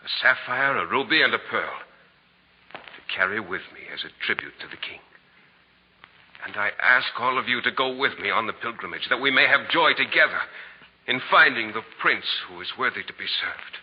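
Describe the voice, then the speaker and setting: A man's voice: mid-range voice, lively intonation, moderate pace, slight background noise, close to an everyday voice. Male actor as earnest, devout male pilgrim sage, theatrical and solemn, vintage radio play with dull fidelity. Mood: earnest resolve. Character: Artaban, earnest devout Magus on a holy quest. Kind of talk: 1940s radio drama acting.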